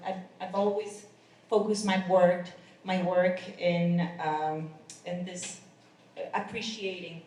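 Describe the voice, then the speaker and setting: A woman introducing herself on a conference panel, halting with many restarts. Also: on the low side, a little mellow, slight background noise. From Colombia. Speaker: costume designer, panelist. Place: conference room. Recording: echoey room, far from the mic.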